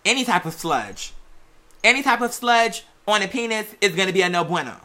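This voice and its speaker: A commentator with a bright, forceful voice at a middle pitch. The delivery is sassy and blunt, full of casual slang. It sounds like opinionated gossip talk, conversational and direct. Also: lively intonation, brisk.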